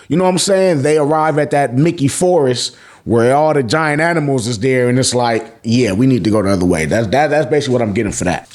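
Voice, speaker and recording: A man's voice: fairly high pitch, a little mellow. An American man hosting a casual, slangy and profane recap, sometimes acting out lines as he goes. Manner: profane, informal, acts out characters' lines. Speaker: YouTube host reviewing manga chapters.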